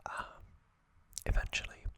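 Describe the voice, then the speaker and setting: An ASMR host giving a relaxed recap in a soft, unvoiced whisper, with casual fillers and small asides. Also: a little slow.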